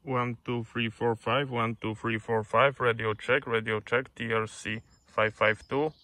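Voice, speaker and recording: A man making repetitive radio test calls in deliberate, clipped phrases. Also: mid-range voice, little pitch movement, sharp tone. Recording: faint noise, clicks, radio test audio.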